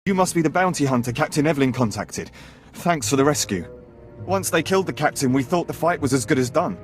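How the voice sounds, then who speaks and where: A man acting a hurried, high-voiced bridge officer. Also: animated, soft background music, lightly acted. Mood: anxious, deferential. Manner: formal, hurried, deferential. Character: anxious, deferential male warship bridge officer. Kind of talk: video game voice acting.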